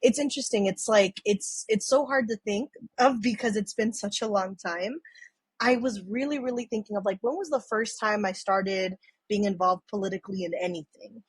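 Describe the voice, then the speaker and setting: A husky woman in her thirties from San Francisco, recorded dry and close. She talks as a podcast guest, telling loose stories that are full of "um" and "like" and that restart mid-sentence. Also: medium pitch, normal speed, dry recording. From San Francisco, USA. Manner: rambling, many fillers and restarts, swears casually. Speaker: podcast guest and community organizer. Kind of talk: casual storytelling chat.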